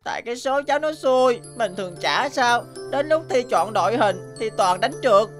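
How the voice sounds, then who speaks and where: A woman voices a nine-year-old boy in a cartoon. He is sulky and self-pitying, complaining in a high, whining voice. Faint music sits behind. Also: lively intonation, normal speed, lightly acted. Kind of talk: cartoon dubbing.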